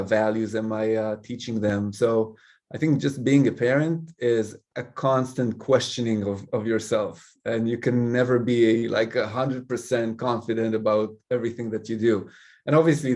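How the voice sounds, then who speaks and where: A man from Israel, a Hebrew speaker, talking as an interview guest in a thoughtful, measured way with frequent fillers. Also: medium pitch, a little mellow. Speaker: guest, company CEO. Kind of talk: casual interview chat.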